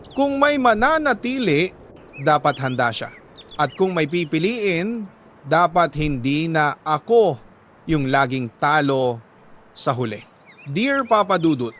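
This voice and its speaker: Higher-pitched Filipino man narrating a heartfelt letter. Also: fairly bright tone, a little hiss or hum. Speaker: radio storyteller reading listener letters. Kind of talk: dramatic first-person letter narration.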